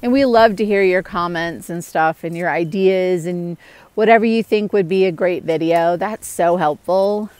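A woman's voice: lowish voice, moderate pace, darkish tone, clear, resonant tone, no room sound. A middle-aged woman chatting casually and candidly to viewers as a homestead vlogger, outdoors on an earbud mic. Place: outdoors in the woods.

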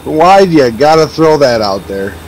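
A man chats with friends in a game voice chat, needling the host with easy laughs. His voice is a little high, over a faint hum. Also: big pitch swings, normal speed, a little mellow, poor audio. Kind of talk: casual group chat.

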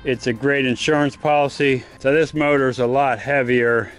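A man giving a casual step-by-step how-to while working on a boat trailer on his driveway, often turning away from a low camera. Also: fairly even intonation, moderate pace, soft background music. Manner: plain, practical, step-by-step explaining while working. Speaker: DIY vlogger. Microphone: camera mounted low on the ground, voice often off-mic.

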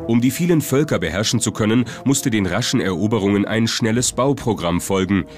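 Guy narrating a documentary, deepish voice, music playing clearly behind him. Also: expressive, fairly quick.

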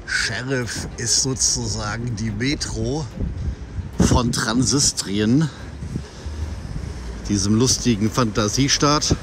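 A man's voice: medium pitch, slow, long pauses, background noise. Wry, chatty man vlogging on the road. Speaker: travel vlogger. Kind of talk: casual travel vlog. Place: supermarket and car on the road. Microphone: handheld camera at arm's length.

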